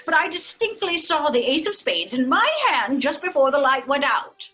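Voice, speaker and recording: An actress with a British accent playing a sensible, faintly stern mother with a crisp manner in an old-fashioned radio drama. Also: high voice, animated, normal speed, pushed and bright, poor audio. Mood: questioning. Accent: British English.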